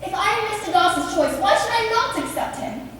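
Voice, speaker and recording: A young woman playing a proud, quick-witted gentlewoman in a period stage play, answering in formal retorts. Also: extremely high pitch, expressive, slight background noise. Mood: proud, firm. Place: theatre stage. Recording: echoey stage recorded from far off.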